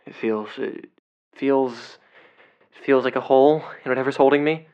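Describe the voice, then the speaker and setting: An actor playing a cocky, flippant young male YouTube investigator in an audio drama, excitable and hesitant when challenged. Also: mid-range voice, normal speed, smooth, muffled recording, lightly acted. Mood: uneasy, hushed. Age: young adult.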